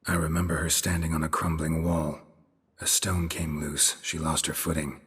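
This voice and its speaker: A stoic game monster hunter with an extremely low, heavily rasping voice. He is weary and guarded, speaking in short clipped sentences with little display of feeling. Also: little pitch movement, normal speed, fairly bright tone, full character voice. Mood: somber, reflective. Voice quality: gravelly, husky. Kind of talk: restrained video game dialogue.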